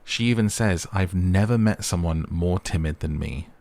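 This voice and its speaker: Very deep-voiced man recapping a show. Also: normal speed, creaky, a little mellow. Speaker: host of a recap channel. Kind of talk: casual scripted recap commentary of a reality show.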